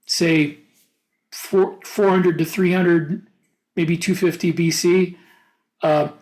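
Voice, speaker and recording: A man co-hosting a podcast in casual conversation, often pausing mid-thought as he explains his ideas. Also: high voice, fairly even intonation, darkish tone, low-quality recording. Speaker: podcast co-host. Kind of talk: casual panel chat about writing fiction.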